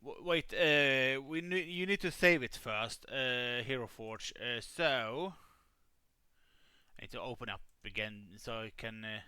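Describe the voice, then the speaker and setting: Slow, halting man with a bright voice. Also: medium pitch, clean tone, no echo. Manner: halting, many fillers and restarts; says he has a calming voice. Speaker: streamer running a game. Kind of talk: casual live-stream chat.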